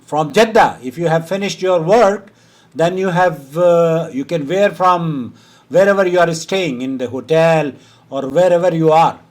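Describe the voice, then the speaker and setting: A man from India with a high-pitched voice hosts a call-in Q&A. He talks firmly and waves off rumors, with a short laugh now and then. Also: a little slow. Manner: firm, dismissive of hearsay, with short laughs. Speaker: host answering a caller's religious question. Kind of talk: phone-in Q&A.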